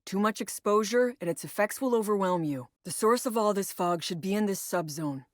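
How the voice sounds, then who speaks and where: A woman playing a composed female operations agent in a video game, briefing a squad in a measured, matter-of-fact way. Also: medium pitch, lively intonation, dry recording, clean studio sound, lightly acted. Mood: focused, instructive. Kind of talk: scripted video game voice lines.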